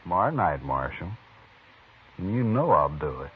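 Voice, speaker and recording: An actor playing an angry, threatening Old West townsman, husky and blunt, in 1950s radio western style. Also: sits low, sing-song, normal speed, exaggerated voice. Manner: blunt, defiant, folksy grammar. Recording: muffled 1950s radio recording.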